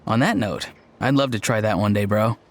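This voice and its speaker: A man's voice: fairly low pitch, moderate pace, a little hiss or hum, natural voice. A man voicing an easygoing young man. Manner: easygoing and casual. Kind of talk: game dialogue. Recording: faint game music behind.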